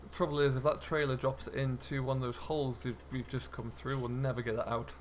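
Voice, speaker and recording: A man's voice: mid-range voice, flat delivery, a little fast. Chatty man commentating over vehicle noise. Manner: chatty, talks himself through the driving. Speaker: gaming video host. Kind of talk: casual role-play gameplay commentary.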